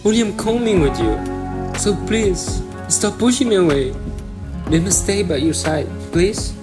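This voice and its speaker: A man's voice: high-pitched, lively intonation, a little slow. A torn, lovesick young suitor in a student radio play, acted by a man. He speaks, and music plays under his voice. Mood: desperate, pleading. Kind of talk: student radio drama acting.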